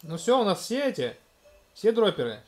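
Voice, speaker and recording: A man in his thirties casually commenting on a video game in a gentle, coaxing way, talking to a small child beside him at home. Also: sits high, very animated, moderate pace. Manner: gentle, coaxing, talks to the child, narrates what is on screen. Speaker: let's-play host playing with his toddler.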